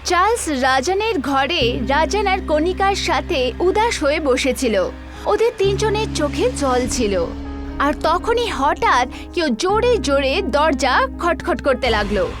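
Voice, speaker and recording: A woman's voice: sits high, big pitch swings, takes it slowly, music in the background. A woman narrating a scripted horror story in a dramatic storytelling style.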